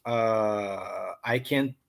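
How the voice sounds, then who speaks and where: A man's voice: fairly low pitch, extremely slow, creaky, slight background noise. A man from Brazil with a Brazilian Portuguese accent, an English learner chatting hesitantly on a video call, full of ums and restarts. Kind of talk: casual online lesson chat.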